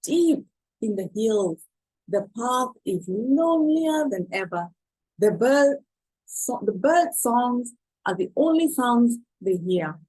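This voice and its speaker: Woman, children's storyteller, slow pace, slightly breathy husky voice, expressive read-aloud style. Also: on the high side, poor audio. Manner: expressive, pausing to ask listeners questions. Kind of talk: read-aloud picture book narration for children.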